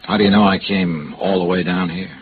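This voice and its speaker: An American actor playing a cool, wry insurance investigator in 1950s radio noir, clipped and sardonic. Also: male voice, low-pitched, fairly even intonation, a little fast, background noise, close to an everyday voice. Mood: cool, probing. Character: hard-boiled male insurance investigator, probing, cool, wry. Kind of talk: 1950s radio noir acting, with first-person narration. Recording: dull vintage radio recording, music behind.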